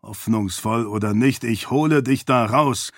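A man's voice: medium pitch, unhurried, dry recording, clean studio sound, lightly acted. A man voicing a protective, headstrong king and father in a fantasy audiobook. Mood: indignant.

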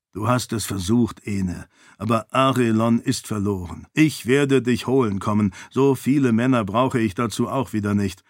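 A man's voice: mid-range voice, no room sound, studio quality, lightly acted. A man voicing a protective, headstrong king and father in a fantasy audiobook.

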